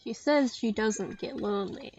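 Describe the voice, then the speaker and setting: A woman game streamer giving casual, halting live commentary in muttered asides. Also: a little high, a little mellow. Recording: dull lo-fi mic, faint noise, clicks.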